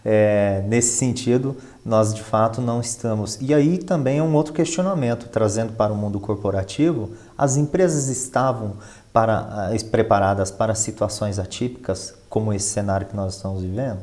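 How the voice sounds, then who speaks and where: Mellow, low-voiced man in interview. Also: moderate pace. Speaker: finance professional and professor, interview guest. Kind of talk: conversational interview answers.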